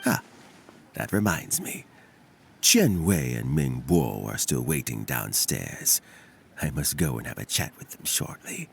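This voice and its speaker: An actor playing a wise older male official in a game, speaking in a measured, advising way. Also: very deep voice, expressive, creaky, slight background noise, full character voice. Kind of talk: game character dialogue. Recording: game audio with music behind.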